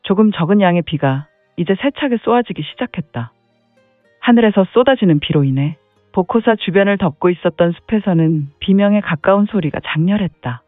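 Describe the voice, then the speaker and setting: Man narrating a martial-arts audio drama, fairly high voice, dramatic, "heh heh" interjections, thin phone-like sound, dry, faint music. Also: animated, brisk, no echo. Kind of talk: scripted dramatic storytelling narration.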